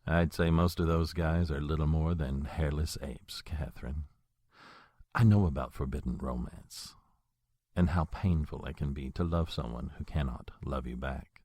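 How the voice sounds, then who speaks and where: A man as a husky, cavernously deep old vampire. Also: normal speed, clean studio sound. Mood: silky. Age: older. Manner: suave, flattering, then threatening. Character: old male vampire, courtly and menacing. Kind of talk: audio drama acting.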